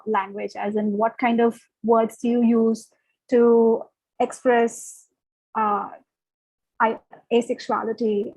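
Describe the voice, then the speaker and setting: A woman moderates a panel discussion over a video call, encouraging speakers and using fillers as she goes. Her voice is somewhat high. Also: a little mellow, poor audio.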